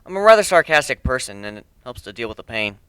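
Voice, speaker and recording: Bullied teen nerd narrator male reader: sardonic, bitter, punchy emphasis, clean sharp voice, dry close recording, dramatic story reading. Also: mid-range voice, very animated, quick pace, clean tone, dry recording. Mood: cocky.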